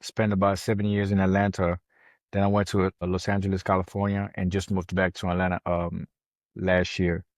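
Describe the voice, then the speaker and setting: Male interview guest, low flat delivery, conversational. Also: fairly quick, no room sound, studio quality. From France. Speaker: podcast guest, financial planner.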